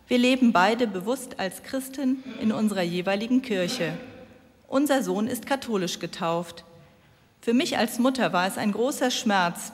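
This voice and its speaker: A woman from northern Germany gives an earnest, heartfelt testimony at a slow pace. Her voice sits at a typical pitch for a woman. She is recorded in a church with a long, lingering reverb. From Lübeck, northern Germany. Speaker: member of an ecumenical working group. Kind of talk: reading a prepared testimony in a church service.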